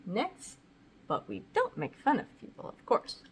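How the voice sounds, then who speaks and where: A woman with a fairly high, very animated voice reads a picture book to children. She is informal and chatty, breaking off for asides, with faint noise in the background. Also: measured pace. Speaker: read-aloud host and parent. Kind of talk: casual children's picture-book read-aloud.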